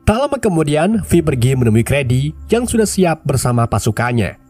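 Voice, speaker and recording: A man recaps a film in a lively, casual storytelling voice. His pitch rolls up and down freely. A head cold leaves him nasal and stuffed up, with faint music underneath. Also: brisk.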